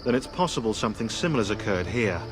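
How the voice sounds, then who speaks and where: An actor playing a sarcastic, pedantic and peevish British know-it-all in a video game cutscene. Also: male voice, lowish voice, animated, fast talker, natural voice. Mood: sardonic. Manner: sardonic, pedantic. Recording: clear music underneath. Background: music.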